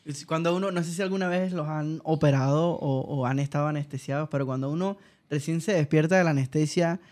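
A man's voice: fairly high pitch, fairly quick, a little mellow. A man with a Panamanian accent, hosting a casual interview, chatty and curious, who explains asides to his audience. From Colombia. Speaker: host.

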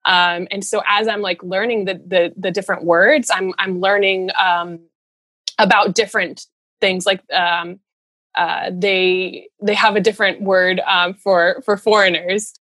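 A woman's voice: medium pitch, little pitch movement, a little slow, pushed and bright, dry recording. A woman speaking casually as an interview guest, earnest and rambling, in a giggly conversational way. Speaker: interview guest, runner and storyteller.

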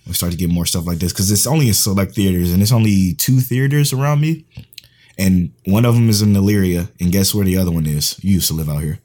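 Husky low-voiced man on a podcast. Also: fast talker, warm tone. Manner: slangy, laid-back, trailing off. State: losing his voice. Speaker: podcast co-host. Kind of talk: casual podcast chat.

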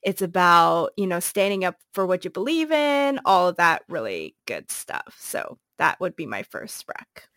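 A woman's voice: on the low side, big pitch swings, unhurried, hardly any pauses, a bit bright, no echo, clean studio sound. A woman co-hosting a podcast, chatting casually about a book she recommends, with many ums and likes and frequent laughs. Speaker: podcast co-host.

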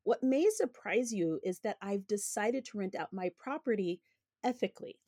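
Woman host, 30s, frank and chatty, a little hoarse, mid-pitched voice, dry close recording. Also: expressive, normal speed, dark tone, dry recording. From United States. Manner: candid, conversational, opinionated. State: slightly hoarse, recovering from illness. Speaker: podcast host. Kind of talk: personal finance podcast monologue.

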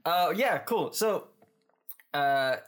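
A man co-hosting a podcast in casual chat, relaxed and rambling, with lots of fillers and easy laughter. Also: fairly high pitch, very slow. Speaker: podcast co-host.

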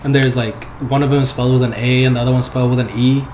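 A man's voice: mid-range voice, fast. Laid-back young man in an echoey room. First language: not English. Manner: relaxed, rambling, laughs often, many "like"s. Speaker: software developer guest. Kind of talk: casual interview chat. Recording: echoey room with background noise.

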